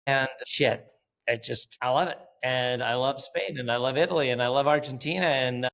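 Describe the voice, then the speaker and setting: A man's voice: medium pitch, moderate pace, a bit bright. A man, a company founder guest on a podcast, talking bluntly and animatedly, swearing casually and acting out bits of conversation. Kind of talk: casual interview.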